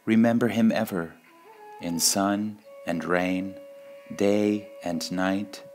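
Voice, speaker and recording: Male reader, deep voice, unhurried and deliberate delivery of spiritual quotations, music underneath. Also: fairly even intonation, a little mellow. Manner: measured, deliberate, with pauses between phrases. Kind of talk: slow devotional quote reading.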